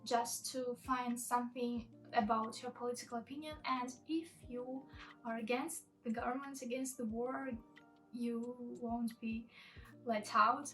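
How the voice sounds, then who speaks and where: A woman's voice: fairly high pitch, fairly even intonation, normal speed. A woman in her twenties from Russia, speaking English with a Russian accent, telling a personal story to camera in an earnest, plain way. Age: 20s. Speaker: vlogger. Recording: voice a bit far from the mic, soft music behind.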